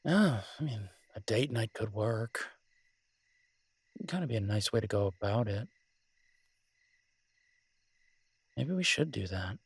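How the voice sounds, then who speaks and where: Low, husky, tender werewolf boyfriend played by a man. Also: expressive, normal speed, no echo, full character voice. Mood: hopeful, affectionate. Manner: hesitant, tender, conversational, lightly teasing. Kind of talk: romantic roleplay audio, intimate casual conversation.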